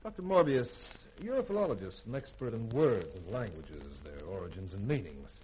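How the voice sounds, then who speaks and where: Firm military spaceship commander, lowish voice, varied pitch, authoritative, clipped, 1950s radio drama, faint recording noise. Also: male voice, big pitch swings, moderate pace, dark tone. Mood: probing. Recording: noisy vintage radio recording.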